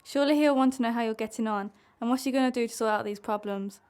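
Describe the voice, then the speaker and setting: A woman's voice: a little high, quick pace, darkish tone, clean tone, natural voice. A woman playing a supportive, indignant friend of a troubled wife, in an amateur radio play. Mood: reassuring.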